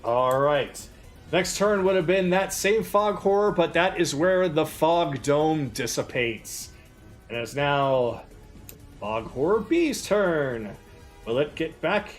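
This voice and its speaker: Higher-pitched man running a tabletop game. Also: lively intonation, slow, faint music behind. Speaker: game master.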